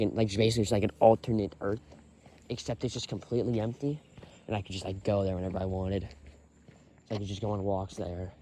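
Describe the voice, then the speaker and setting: A man's voice: lowish voice, mellow, slight background noise. A young man rambling on a solo walk with many fillers and sighs, recorded on a phone in his pocket outdoors. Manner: rambling, filler-heavy, sighing often. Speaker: vlogger. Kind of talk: rambling walking vlog. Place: outdoors on a quiet road.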